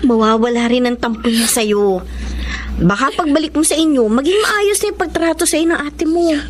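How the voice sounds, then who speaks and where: An actress with a Filipino accent playing a concerned young university student soothing and advising a friend in a radio drama. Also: female voice, high-pitched, moderate pace, slight background noise, natural voice. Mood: consoling. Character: concerned female university student, consoling, advice-giving friend.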